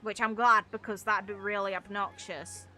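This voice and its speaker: A woman's voice: medium pitch, fairly even intonation, moderate pace, bright, forceful tone, soft background music. A young adult woman who streams games, chatting casually and informally with viewers in a rambling way. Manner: informal, rambling, mild swearing. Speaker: game streamer. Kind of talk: casual livestream chat with viewers.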